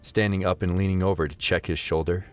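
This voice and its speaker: A man narrating a scripted fantasy story as an expressive audio drama narrator. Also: low voice, fairly quick, faint music behind.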